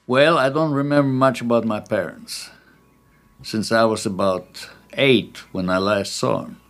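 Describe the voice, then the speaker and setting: A man in his eighties from Poland, recalling his early life in a measured, reflective way during an oral history interview at his home. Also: medium pitch, fairly bright tone. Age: 80s.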